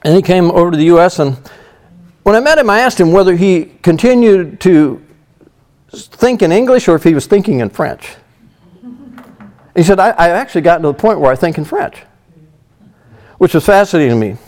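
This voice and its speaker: Man preaching, middle-aged, conversational Bible teaching, high voice, very animated pitch. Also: fairly quick, pauses often. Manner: conversational Bible teaching, frequent "you know". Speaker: pastor. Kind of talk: sermon.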